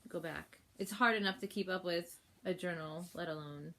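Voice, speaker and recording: A woman with a cold, sniffly, chatting casually through a planner unboxing at home while filming on her phone, rambling with fillers and soft laughs. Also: lowish voice, moderate pace. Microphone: phone held while filming.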